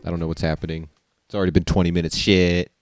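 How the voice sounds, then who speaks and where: A man's voice: sits low, flat delivery, quick pace, no echo. A young man giving casual, irreverent, profane game commentary and reading the on-screen text aloud in a slangy way. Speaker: let's player.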